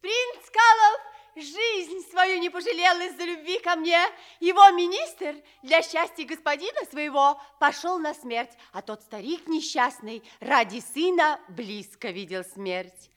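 An actress playing a proud, capricious fairy-tale princess softened by love, declaiming with dramatic pauses in a radio play. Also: female voice, extremely high pitch. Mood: solemn, reflective. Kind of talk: theatrical monologue in a radio play.

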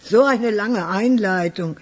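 An actress playing a chatty, gracious older aunt with an effusive, playfully theatrical manner in a radio crime drama. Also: female voice, mid-range voice, slow pace, a little mellow, breathy edge, long reverb tail. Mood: gracious, sly.

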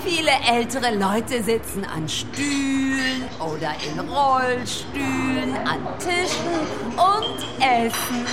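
High-pitched, very slow woman narrator. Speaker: narrator setting scenes. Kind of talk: scripted second-person scene narration.

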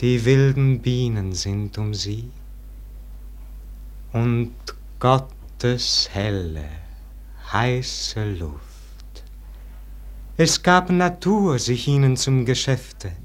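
An actor playing a melancholy, yearning nobleman in a classic radio verse drama, declaiming lyrically in standard German stage diction. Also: mid-range voice. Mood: fervent longing. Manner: solemn, lyrical, drawn-out declamation.

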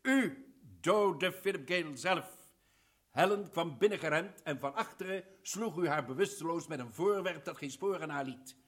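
An actor playing a commanding colonel who lays out an accusation forcefully and deliberately. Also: male voice, a little high, slightly rough, exaggerated voice. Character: commanding male colonel investigator. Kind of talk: old radio drama acting.

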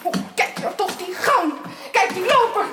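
A high, swooping voice: an imperious, irritable mistress of the house in a period radio drama. She scolds like someone used to being obeyed, over a very noisy, echoing recording. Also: animated, normal speed. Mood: furious, scolding. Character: irritable, domineering married sister, mistress of the house.

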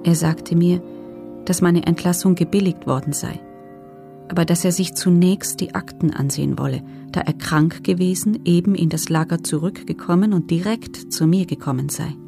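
A guarded female prisoner gives testimony in a radio play. Her voice is lowish and dark, with a marked creak. She speaks soberly and with restraint, at a measured tread. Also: faint music behind. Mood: restrained, sober. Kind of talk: documentary radio play, reading written testimony.

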